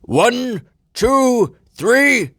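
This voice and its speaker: Man voicing a booming, pompous railway boss. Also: very animated, normal speed, no echo, clean studio sound. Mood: proud, hearty. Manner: booming, clipped orders, formal address. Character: stern, pompous male railway boss, proud and commanding. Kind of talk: children's storybook character voice.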